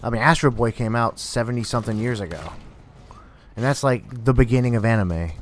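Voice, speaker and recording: A man in his thirties chatting casually with friends while playing a game, rambling and reacting loudly over a headset mic. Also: medium pitch, fairly quick, slight background noise. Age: 30s. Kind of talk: casual gaming banter.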